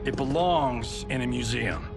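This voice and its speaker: A man's voice: mid-range voice, unhurried, creaky. An actor playing a cocky, brash male action movie star with showy, quippy delivery in a cinematic video game. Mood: defiant. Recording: loud cinematic music behind.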